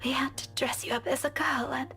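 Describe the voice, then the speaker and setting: Game role: frightened but tender young woman, high voice, faint music behind. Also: steady tone, a little fast, bright, forceful tone. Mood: tender, resigned. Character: frightened young woman facing death, tender toward childhood friend. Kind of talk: visual novel game voice acting.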